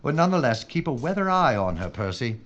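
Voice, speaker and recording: An actor playing a gruff but courteous London police inspector in an audio drama, with a brisk, commanding, proper manner. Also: male voice, mid-range voice, very animated, a bit bright. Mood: steady, authoritative. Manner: brisk, commanding, proper British turns of phrase. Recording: dull, noisy recording with clicks.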